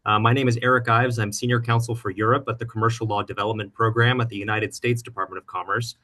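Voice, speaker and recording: A man's voice: fairly low pitch, level tone, fast talker, pushed and bright. A man introducing himself politely as a panelist, in an informal professional style. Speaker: panelist, government lawyer.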